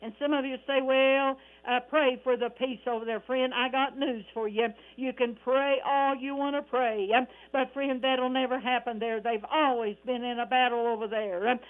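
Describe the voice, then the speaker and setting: High-voiced older woman preaching, tinny radio sound. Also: steady tone, moderate pace, few pauses. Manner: fervent, exclamatory, peppered with praise interjections. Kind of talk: impromptu Pentecostal sermon with scripture quotations.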